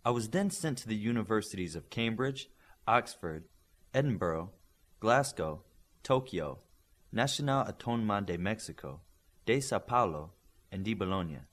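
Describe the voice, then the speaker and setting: A man's voice: on the low side, animated, moderate pace, fairly bright tone. A man voicing a poised young female teacher in audiobook dialogue, formal, precise and self-assured.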